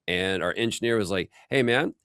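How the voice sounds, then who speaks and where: A man hosting a casual, chatty workshop interview, telling stories in an informal and animated way. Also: fairly low pitch, moderate pace, smooth, no room sound, clean studio sound. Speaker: host.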